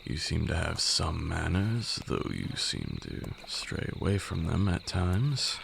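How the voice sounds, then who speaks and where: A man playing an ancient, haughty vampire lord in an ASMR roleplay, a condescending mentor with formal, archaic speech and a gravelly voice. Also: takes it slowly, heavily acted. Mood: knowing, teasing. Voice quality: very deep, gravelly. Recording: steady noise with night insects. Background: crickets and night insect ambience.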